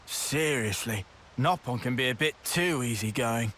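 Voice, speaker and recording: An actor playing a gruff, argumentative young male soldier in a game cutscene, blunt and challenging. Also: mid-range voice, animated, measured pace, a bit bright, slight background noise. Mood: irritated. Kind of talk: video game cutscene acting.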